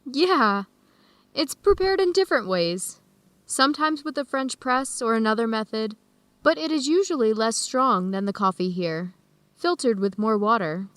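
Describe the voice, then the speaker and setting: An actress playing a friendly, even-tempered American woman who explains things in a measured way in an educational radio drama. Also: on the high side, no echo, close to an everyday voice. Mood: explaining, relaxed. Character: friendly American woman hosting Ethiopian friends, easygoing, tolerant. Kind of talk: educational radio drama dialogue, clearly worded for learners.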